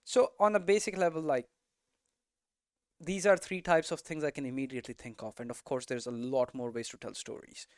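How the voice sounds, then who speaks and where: A man's voice: medium pitch, fairly even intonation, a little fast, no room sound, clean studio sound. A man giving an informal lesson, thinking aloud conversationally and often checking in with tags like "right?". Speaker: game development streamer and teacher.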